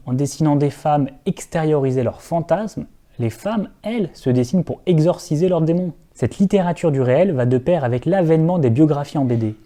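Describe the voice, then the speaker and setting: A man's voice: a little high, warm tone. A young man hosting a scripted video essay, earnest and direct, with occasional wry asides to his viewers.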